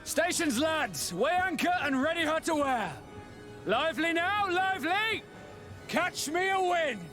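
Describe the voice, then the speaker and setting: An actor playing a brisk, dry-humoured sea captain in a video game, with a clipped, commanding manner. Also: male voice, very high voice, expressive. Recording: game audio with loud music behind.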